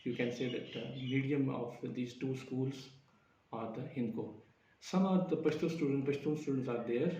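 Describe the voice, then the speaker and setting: A man presenting his research findings, halting often with fillers and correcting himself mid-phrase. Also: mid-range voice, warm tone. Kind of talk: academic presentation. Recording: roomy, distant, dull lo-fi sound.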